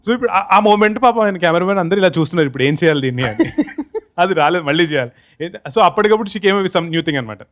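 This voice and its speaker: A man's voice: high-pitched, lively intonation, very fast. A man with a Telugu accent telling anecdotes casually in a group interview, mixing in English phrases. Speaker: film team member being interviewed.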